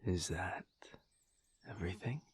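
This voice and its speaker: A man playing a teasing, guarded lover in an intimate audio roleplay, speaking in a husky, breathy murmur with hesitant pauses. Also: low voice, a little hiss or hum. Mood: dry, probing.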